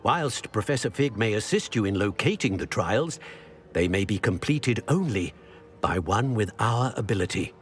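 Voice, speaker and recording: A man voicing an ancient guardian of secrets, speaking in a grave, formal, measured way. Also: fairly low pitch, lively intonation, faint music behind, close to an everyday voice. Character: solemn ancient male keeper of secrets.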